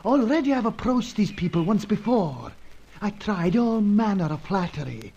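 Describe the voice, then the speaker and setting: A high-pitched anxious jungle guide. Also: male voice, normal speed, creaky, slightly rough, exaggerated voice. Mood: warning. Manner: florid, formal, excitable. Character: anxious, deferential South American male jungle guide. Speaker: guide and sidekick. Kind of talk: adventure audio drama acting. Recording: dull lo-fi audio.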